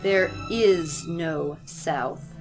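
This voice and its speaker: A woman performs as a stern female religious leader, zealous and formal. She preaches and commands in audio drama style, with music clearly playing behind her. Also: low voice, extremely slow, exaggerated voice, low-quality recording. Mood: stern, accusing.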